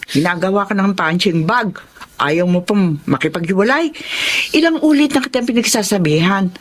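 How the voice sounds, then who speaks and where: A woman's voice: medium pitch, lively intonation, normal speed, natural voice. A woman playing an exasperated confidante in a Filipino radio drama, nagging emphatically. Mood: exasperated, concerned. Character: exasperated, scolding female confidante.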